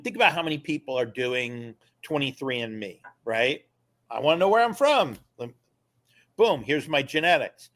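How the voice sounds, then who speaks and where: A man of middle pitch speaks as a guest on a podcast. He explains in an animated, emphatic way, pushing his points with quick asides. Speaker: guest, former government official and attorney. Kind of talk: casual interview conversation.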